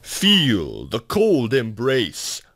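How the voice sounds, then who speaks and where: A man voicing a cold, evil, possessed undead knight in a slow, menacing manner for a demo clip. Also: huge pitch swings, fairly bright tone, heavily acted. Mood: cold menace. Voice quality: haunted, creepy. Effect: demo takes, some pitch-shifted, phased or echoed.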